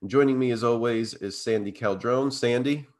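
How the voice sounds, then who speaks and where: Slightly hoarse, blocked-up man chatting. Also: medium pitch, normal speed, darkish tone. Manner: rambling, full of fillers and restarts. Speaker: podcast co-host. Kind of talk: casual chat.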